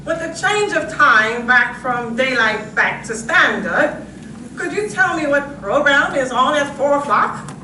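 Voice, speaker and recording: A woman's voice: high-pitched, animated, measured pace, bright, forceful tone, heavily acted. A woman playing a polite telephone caller in an old-time radio comedy. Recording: distant echoey stage, light noise.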